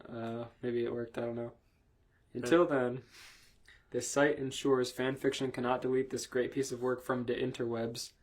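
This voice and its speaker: A man's voice: medium pitch, level tone, moderate pace. Amused man reading aloud on a podcast. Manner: sweary, amused, interrupts own reading with asides. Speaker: podcast host reading fan fiction aloud. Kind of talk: casual comedic banter and read-aloud.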